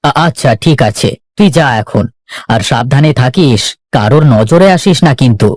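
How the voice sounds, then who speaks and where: A man playing an anxious village headman in an animated moral story, giving orders and warnings in a cautionary way. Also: mid-range voice, moderate pace, no room sound, clean studio sound, lightly acted. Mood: worried, cautioning. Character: anxious village headman, worried father in hiding. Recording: music behind the voice.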